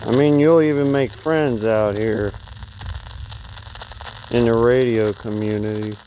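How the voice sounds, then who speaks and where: A man's voice: mid-range voice, fairly even intonation, takes it slowly, highs cut off. A man rambling casually to viewers in a folksy way, presenting as a CB radio hobbyist. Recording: clear background noise, radio static. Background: CB radio static and chatter.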